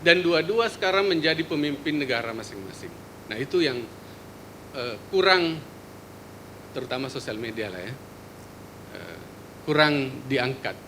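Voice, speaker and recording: A man from Indonesia with an Indonesian accent, presenting at a forum in a lecture hall, relaxed and joking, with many hesitations. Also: mid-range voice, measured pace, pauses often, noisy background. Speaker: forum speaker, media researcher. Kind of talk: conference presentation.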